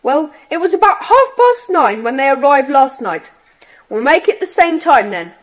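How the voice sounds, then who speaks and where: A woman playing an earnest, expressive schoolboy in a children's audiobook drama. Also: sits high, moderate pace, low-quality recording. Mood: earnest, planning. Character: Jack, earnest schoolboy in a secret club.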